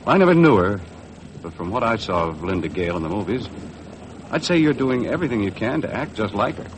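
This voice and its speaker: An actor playing a laconic boat skipper with a dry, understated American delivery, in 1950s radio drama style. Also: male voice, fairly low pitch, very animated, a little fast. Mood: frank, sympathetic. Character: laconic boat skipper narrating his own adventure. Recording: noisy vintage 1950s radio recording.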